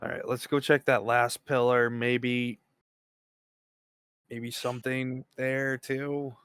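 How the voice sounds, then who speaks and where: A man's voice: medium pitch, fairly even intonation, takes it slowly, a bit bright, clear, resonant tone, no echo. A man chatting casually as a player in a tabletop role-playing game, informal and jokey.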